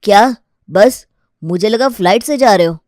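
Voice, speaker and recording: A woman voicing a grumbling, fussy uncle in an animated family story. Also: medium pitch, moderate pace, no echo, studio quality, close to an everyday voice. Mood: complaining.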